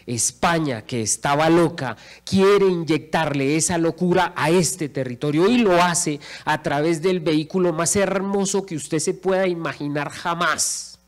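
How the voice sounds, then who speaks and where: A middle-aged man with a Colombian accent giving an impassioned speech in a large auditorium, speaking into a handheld microphone and repeating phrases for rhetorical emphasis. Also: a little high. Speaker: lecturer.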